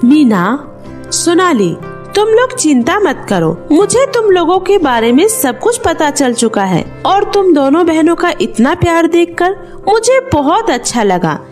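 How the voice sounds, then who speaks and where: A woman voicing a kindly magical fairy in a children's story, gentle and reassuring. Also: extremely high pitch, exaggerated voice.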